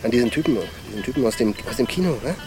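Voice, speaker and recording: A man's voice: medium pitch, expressive, rapid-fire, music in the background, natural voice. An actor playing a chatty, jittery small-time ex-convict in his twenties who nervously repeats himself in a crime radio play. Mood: jittery, chummy. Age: 20s.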